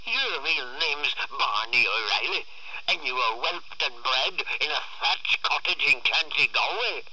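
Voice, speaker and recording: A man voicing a drunken, belligerent father who taunts in a drawn-out roar, in a storytelling reading. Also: medium pitch, animated, sharp tone, husky, dry recording, muffled recording, voice pushed up, heavily acted. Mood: roaring, taunting. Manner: roaring, taunting, drawn-out delivery.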